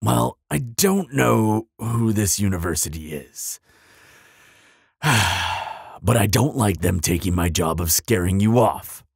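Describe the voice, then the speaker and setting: A man voicing a proud, grumpy but soft-hearted bogeyman in an audio roleplay, with a gravelly rasp and a pompous, easily flustered delivery. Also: fairly low pitch, lively intonation, takes it slowly, no echo, studio quality, heavily acted. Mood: grudging concern. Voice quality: raspy, gravelly. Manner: pompous, flustered stammering, dramatic.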